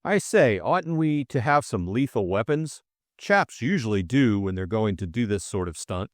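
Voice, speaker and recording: A man's voice: lowish voice, animated, normal speed, dry recording, clean studio sound, natural voice. A man voicing a hot-headed, loyal young upper-class Englishman in grumbling, earnest audiobook dialogue. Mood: eager, anxious.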